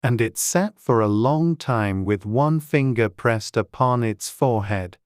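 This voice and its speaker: A man narrating a classic children's story in a gentle, even storytelling manner. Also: mid-range voice, unhurried, clean tone, no room sound, studio quality.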